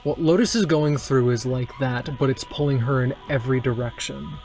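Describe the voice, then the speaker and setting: A man's voice: medium pitch, normal speed, darkish tone. A man playing a tabletop game, narrating a character with earnest, dramatic and sometimes hesitant phrasing. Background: guitar music.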